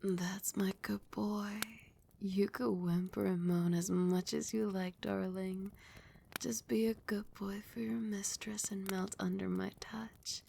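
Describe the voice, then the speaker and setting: A woman plays a seductive, dominant vampire queen in an intimate ASMR roleplay. Her voice is soft, breathy and mellow, often dropping toward a whisper, with a creaky edge. She soothes and coos slowly like a doting ruler, over faint background hiss. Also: mid-range voice, level tone. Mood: doting, soothing. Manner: slow, soothing, cooing endearments.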